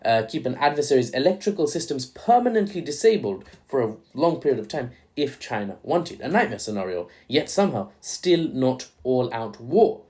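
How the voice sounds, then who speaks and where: A man's voice: mid-range voice, very animated, moderate pace, few pauses, darkish tone. Joking young man commenting on news. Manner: joking, irreverent asides.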